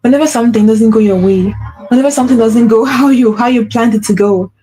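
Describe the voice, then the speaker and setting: A woman's voice: on the high side, fast talker, mellow, a little hiss or hum. A woman hosting a solo podcast as a spiritual coach, speaking earnestly, repeating herself and checking in with the listener, with a slight stutter. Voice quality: mentions having a stutter. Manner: earnest, repetitive, with frequent "right?" check-ins and self-corrections. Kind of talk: solo motivational talk.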